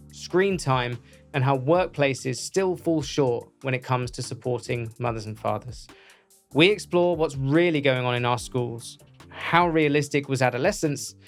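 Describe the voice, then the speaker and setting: A man hosting a podcast, reading a scripted intro in a measured, earnest way before interviewing his guest. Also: fairly high pitch, gravelly. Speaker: podcast host.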